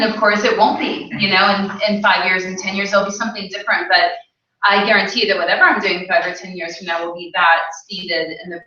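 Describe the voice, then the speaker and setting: A woman's voice: medium pitch, pushed and bright. A woman in her late thirties speaking on a panel, telling personal stories in a candid, informal way. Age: late 30s. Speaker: panel speaker, Ayurvedic practitioner. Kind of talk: casual personal storytelling to an audience. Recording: echoey room, distant mic, dull sound.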